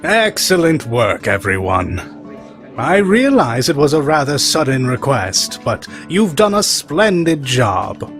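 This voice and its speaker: Man acting a slow, pompous, jovial older official. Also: mid-range voice, sing-song, a bit bright, faint music behind. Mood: jovial, pleased. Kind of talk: anime fandub radio play acting.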